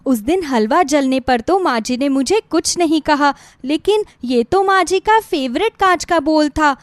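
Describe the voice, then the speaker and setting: A woman's voice: sits high, animated, measured pace, dry recording. A woman voicing an anxious young bride with a pleading, nervous, apologetic manner in a moral story. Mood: frightened. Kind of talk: Hindi moral story acting.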